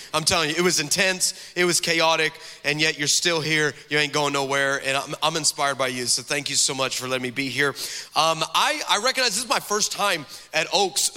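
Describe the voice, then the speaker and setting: A man in his forties from the Texas–Mexico border, a guest pastor preaching a lively, joking sermon and calling out to the crowd in a large church hall. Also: on the high side. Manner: energetic, joking, calls out to the crowd. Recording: long hall reverb from a church sanctuary.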